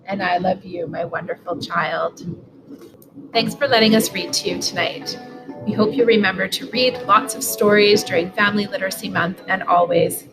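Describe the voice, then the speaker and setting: A woman in her forties reads a picture book aloud. Her voice sits at a middle pitch, and music plays clearly behind her as she reads. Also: fairly even intonation, moderate pace, poor audio. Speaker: school superintendent reading aloud. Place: living room sofa, indoors.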